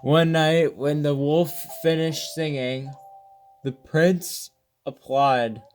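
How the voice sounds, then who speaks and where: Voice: mid-range voice, steady tone, slow pace, darkish tone, soft background music. A gaming video host reading a game's story text aloud, often stumbling over words and rereading them, with casual reactions.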